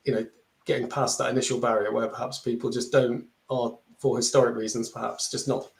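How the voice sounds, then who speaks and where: Hesitant gravelly man on a video call. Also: mid-range voice, a little mellow, echoey room, rough recording. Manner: hesitant, searching for words. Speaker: panelist. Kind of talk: webinar panel discussion.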